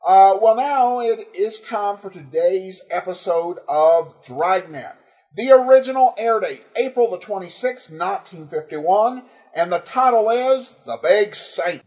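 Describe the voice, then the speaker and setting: High-pitched, mellow American man hosting a podcast. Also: expressive, measured pace. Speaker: podcast host. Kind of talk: podcast introduction, informal announcements.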